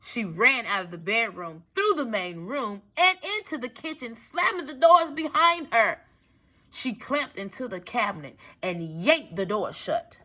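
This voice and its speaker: Slow, animated woman reading a storybook. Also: fairly high pitch. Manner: explanatory, simple phrasing. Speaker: teacher reading to young children. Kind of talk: expressive storybook read-aloud with asides.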